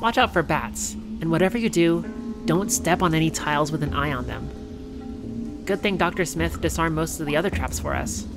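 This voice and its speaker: A performer voicing a cheerful, affectionate girlfriend on a theme park date, speaking gently and unhurriedly in a one-sided ASMR roleplay. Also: mid-range voice, over music, natural voice. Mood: playful. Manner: gentle, unhurried, softly conversational.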